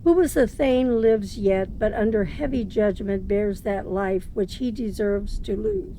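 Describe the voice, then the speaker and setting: Mellow, grave royal envoy, played by a woman. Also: mid-range voice, expressive, measured pace, slight background noise, poor audio. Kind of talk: dramatic Shakespeare reading. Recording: faint background ambience.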